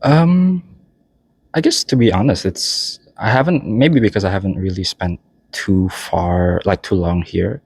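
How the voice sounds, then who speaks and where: A man's voice: deep voice, measured pace, vocal fry, darkish tone, breathy edge, no echo, clean studio sound. A young man giving casual, tentative answers in an interview, speaking in brief hedged phrases. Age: young adult. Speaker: interviewee, international master's student. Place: indoors at a university building.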